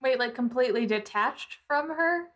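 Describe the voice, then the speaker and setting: A woman's voice: high-pitched, expressive, normal speed, fairly bright tone. A woman playing a tabletop roleplaying game, chatting casually and saying what her character does.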